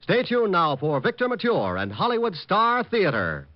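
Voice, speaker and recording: A man's voice: sits high, huge pitch swings, moderate pace, dry recording. A male radio announcer reading scripted credits and promos in a polished, formal 1940s showman style. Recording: dull vintage radio broadcast, music behind.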